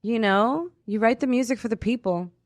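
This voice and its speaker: A woman's voice: fairly high pitch, normal speed. A woman co-hosting a casual radio discussion, speaking in an opinionated, emphatic and blunt way. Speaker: radio co-host. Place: radio studio.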